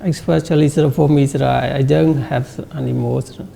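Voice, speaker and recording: A man, a student monk, answering in a halting way with many repeats and frequent "sir". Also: medium pitch, steady tone, gravelly, crowd noise. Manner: halting, says sir often, repeats words. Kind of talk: interview answers.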